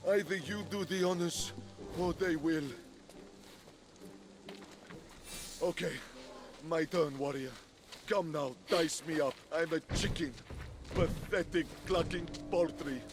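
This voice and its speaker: A man as a high-voiced, theatrical fallen war god. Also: a little slow, over music, close to an everyday voice. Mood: desperate, self-pitying. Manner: melodramatic, theatrical. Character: fallen war god turned chicken, boastful then pathetic. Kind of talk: video game cutscene acting.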